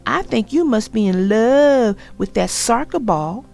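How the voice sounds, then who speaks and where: Woman voicing a high, bossy little girl bear cub. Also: lively intonation, unhurried, creaky, a little mellow, soft background music. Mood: irritated, impatient. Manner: eager, pushy, exclaiming. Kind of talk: storybook character voice.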